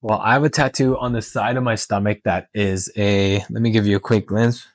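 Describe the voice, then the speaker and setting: A man with a fairly low voice chats informally, as in a personal vlog answering questions. He is candid and unscripted, and the sound is dry and close with little room in it. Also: steady tone, moderate pace, dry recording. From Canada and Hong Kong. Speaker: tattoo artist and vlogger.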